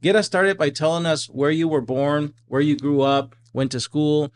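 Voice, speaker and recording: Upbeat, higher-voiced male podcast host. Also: fairly even intonation, moderate pace. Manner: upbeat, friendly banter. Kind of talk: casual interview hosting.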